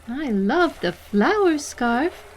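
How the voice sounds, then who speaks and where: Actress as friendly female monster, audio drama, slightly high pitch, earnest, soothing, faint background noise. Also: sing-song, takes it slowly, heavily acted. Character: gentle, friendly female sea monster in human form.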